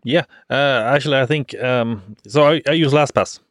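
A man's voice: medium pitch, dry recording, clean studio sound. A man from Norway, a podcast guest, explaining a work story with many halts and restarts. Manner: halting, with many restarts and fillers. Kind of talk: casual podcast chat.

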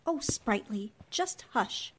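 A woman plays a poised, gently scolding newspaper columnist in an old-time radio drama. Her voice is high, with wide swoops of pitch. She speaks slowly and sounds inquisitive. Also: lively intonation, slight background noise. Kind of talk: 1940s radio drama acting.

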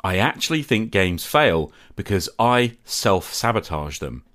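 A man hosting a podcast, reading a measured, candid and self-critical monologue. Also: fairly low pitch, sing-song, a bit bright. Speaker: podcast host. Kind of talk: reflective scripted monologue.

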